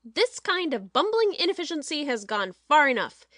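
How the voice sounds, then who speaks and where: Studio producer played by a woman, high and very animated, imperious, menacing, quick to outrage, for a dramatized story. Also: no room sound. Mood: petulant.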